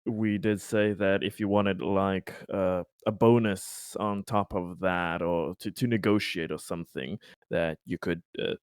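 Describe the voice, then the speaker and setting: A man chatting casually as a tabletop game player, haltingly and often repeating words as he interjects. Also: low voice, fairly even intonation, clean tone, dry recording, studio quality.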